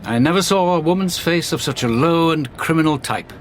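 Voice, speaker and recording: An actor playing a confident, opinionated Victorian police inspector in a radio drama, humming and then pronouncing firmly. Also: male voice, a little high, expressive, a little fast, close to an everyday voice. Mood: opinionated, assured. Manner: hesitant hums, assertive pronouncements. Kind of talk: period radio drama acting. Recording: clear background noise.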